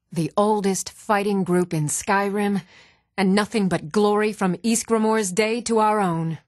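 A woman's voice: mid-range voice, no room sound. Young female warrior, earnest, spirited, proud, fantasy game dialogue, very dry studio sound. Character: eager young warrior woman, proud of her band.

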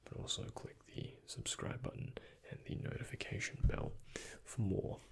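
A young man speaking in a breathy, hushed ASMR whisper, gently and unhurriedly, close to the microphone as in a doctor roleplay. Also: moderate pace. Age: young adult. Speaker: ASMR creator playing a doctor. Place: indoors, close before a dark backdrop.

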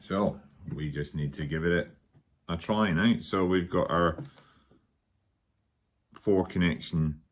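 A man's voice: low voice, takes it slowly, long pauses. A man giving a casual hands-on tutorial, murmuring unhurriedly as he concentrates on his handwork at a table at home. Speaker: hobbyist demonstrating a repair. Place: at a wooden table in a home room. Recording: faint background noise and clicking.

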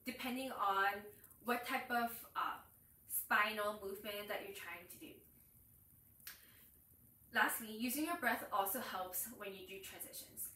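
A young woman teaching a yoga class in a small room, her voice set a little high and caught from across the room by a distant camera. The room adds a clear echo. She explains each point and breaks off to cue inhales and exhales as she moves through the poses. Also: sharp tone. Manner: explains while demonstrating poses, cueing breaths. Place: small room with curtains and yoga mat, indoors. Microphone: far camera. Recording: echoey room, far from mic.

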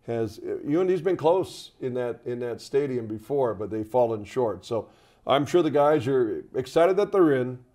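An older man discusses sports as a play-by-play commentator in a TV studio. His voice sits at a middle pitch with a soft, mellow tone. He talks conversationally, doubling back on words as he builds a point. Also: animated, normal speed.

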